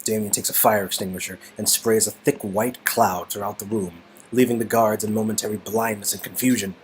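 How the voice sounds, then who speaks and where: A man's voice: on the low side, fairly even intonation, fairly quick, a little hiss or hum, low-quality recording. A man narrating an interactive game story in a dramatic storytelling style, with music behind him. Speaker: narrator and co-performer.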